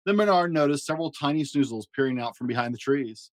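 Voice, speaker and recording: A man narrating a children's storybook aloud with expressive delivery. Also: fairly high pitch, quick pace, dry recording. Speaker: storyteller and host.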